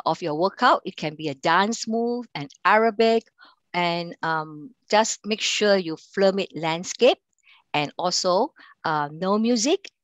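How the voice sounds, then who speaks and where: A middle-aged woman from Singapore with a Singaporean accent, running an online sales training over a video call, talking haltingly with many fillers and restarts. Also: on the low side, dry recording. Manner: halting delivery with frequent fillers and restarts, quizzing the audience. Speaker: account manager and sales trainer.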